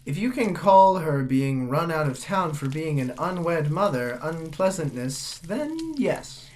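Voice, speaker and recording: A woman's voice: low voice, animated, slow pace, darkish tone, a little hiss or hum. A woman playing a polite, flustered young man visiting a village in a comic audio drama. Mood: awkward, earnest. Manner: polite, hesitant, increasingly exasperated.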